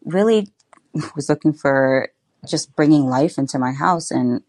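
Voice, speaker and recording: A woman's voice: sits low, a little mellow, no room sound. A young woman guest on a casual podcast, bubbly and talkative, saying "like" often. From Florida. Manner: bubbly, lots of "like" and quick asides. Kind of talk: casual interview chat.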